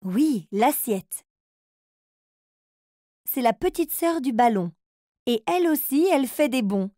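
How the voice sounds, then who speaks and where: High-voiced, encouraging riddle leader. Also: female voice, animated, slow, mellow, no room sound, studio quality. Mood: kindly, explaining. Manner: encouraging, clear simple phrasing for toddlers. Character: kind, encouraging grown-up woman leading riddles for little children. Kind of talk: children's cartoon riddle game narration.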